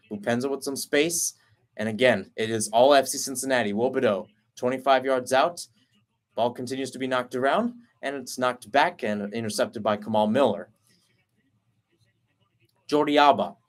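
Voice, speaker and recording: A man giving a live play-by-play call of a soccer match, rattling off player names and lifting his voice when the play heats up. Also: mid-range voice. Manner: rapid running call, rising on chances. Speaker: play-by-play commentator.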